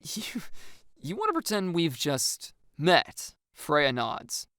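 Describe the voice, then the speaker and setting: A narrator reading a first-person story with acted dialogue, in an expressive and wry storytelling manner. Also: medium pitch, slow pace, clear, resonant tone, no room sound, clean studio sound.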